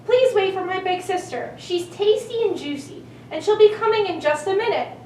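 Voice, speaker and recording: A small, timid goat from a children's puppet show, pleads in a sing-song, extremely high voice. The sound is roomy and a little distant, with faint noise. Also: female voice, moderate pace, a little mellow. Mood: pleading. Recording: echoey room, distant mic, faint noise.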